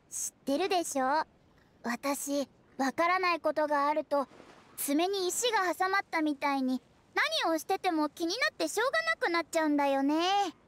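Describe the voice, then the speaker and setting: A woman's voice: very high-pitched, animated, normal speed, fairly bright tone, slight background noise. A woman voicing a young, confident and inquisitive cat spirit courier in a game, friendly and girlish, with music behind. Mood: thoughtful. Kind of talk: Japanese video game voice acting.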